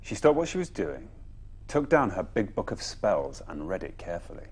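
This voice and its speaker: A man reading a children's bedtime story expressively, with dramatic pauses and emphatic phrasing. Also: sits low, big pitch swings, darkish tone, breathy edge.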